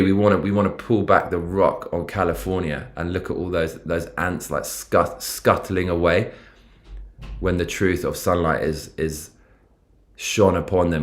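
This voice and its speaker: A man in his forties with a London accent, hosting a rambling, profane political livestream and reading out chat comments. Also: deep voice. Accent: London English. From London, England. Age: 40s.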